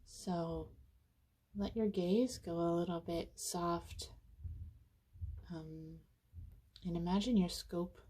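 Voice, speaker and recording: Slow, mellow woman guiding a meditation. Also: fairly low pitch, steady tone, slightly rough. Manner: unhurried, soothing, casual phrasing with pauses. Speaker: meditation guide. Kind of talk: guided meditation, informal and conversational.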